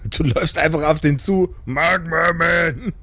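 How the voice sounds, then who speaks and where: A man's voice: a little high, unhurried, sharp tone. A man improvising narration as the game master of a pen-and-paper audio play.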